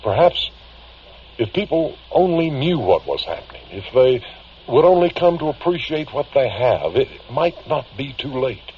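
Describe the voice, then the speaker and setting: An actor playing a grave, worried older man confiding strange cases, speaking haltingly and earnestly in vintage radio drama style on a dull recording. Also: mid-range voice, very animated, a little hiss or hum, natural voice. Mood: fearful, urgent. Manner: halting, deliberate, earnest, pausing mid-phrase. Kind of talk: vintage radio drama acting, confessional monologue.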